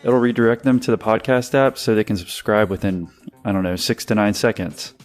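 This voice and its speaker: A man works as a casual podcast interviewer. He speaks at a very quick pace in a voice a bit lower than average. The delivery is informal and conversational. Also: faint music behind.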